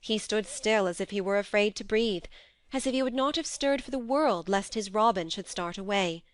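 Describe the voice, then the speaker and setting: A woman reading a classic novel aloud as a steady, measured audiobook narrator on a close mic. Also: mid-range voice, dry recording.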